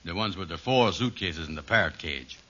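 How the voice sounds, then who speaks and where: A man playing an easygoing, slow-witted pal in a 1940s radio sitcom, talking in a folksy comic dialect. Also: low-pitched, expressive, bright, forceful tone. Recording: dull, hissy old radio recording.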